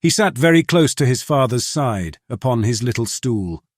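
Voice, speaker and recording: A man's voice: mid-range voice, expressive, moderate pace, no echo. Dry-sounding male audiobook narrator.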